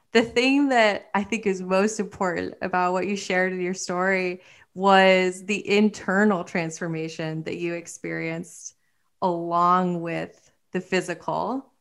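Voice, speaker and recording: A woman's voice: fairly low pitch, fairly bright tone, clean tone. A woman hosting a podcast, responding to her guest with affirming, reflective comments. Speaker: podcast host. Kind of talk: casual podcast interview.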